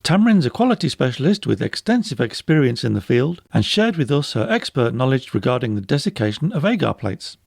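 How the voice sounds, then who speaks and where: A man's voice: medium pitch, very animated, brisk, vocal fry, hardly any pauses, no room sound, studio quality. A man reading a scripted welcome that introduces the guest and the episode topic. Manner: bright, read-out welcome. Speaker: podcast host.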